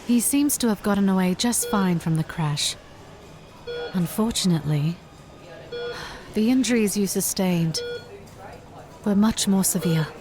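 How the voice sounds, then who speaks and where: A woman playing a calm hospital doctor in an audio drama, speaking in a measured, formal, clinical manner. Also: mid-range voice, music in the background, close to an everyday voice. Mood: grave, clinical.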